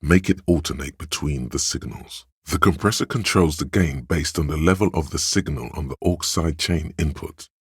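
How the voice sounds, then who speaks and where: A man voicing the brooding, sly inner voice of a detective's mind in a video game, narrating in a measured, theatrical way with a gravelly, husky voice. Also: very deep voice, dry recording, clean studio sound. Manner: measured, deliberate, theatrical delivery. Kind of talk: dramatic scripted game narration of inner thoughts.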